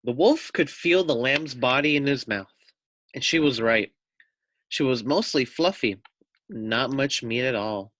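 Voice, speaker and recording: A man's voice: medium pitch, expressive, unhurried, a bit bright, no room sound. A man reading a children's story aloud expressively, with simple explanatory asides for young listeners.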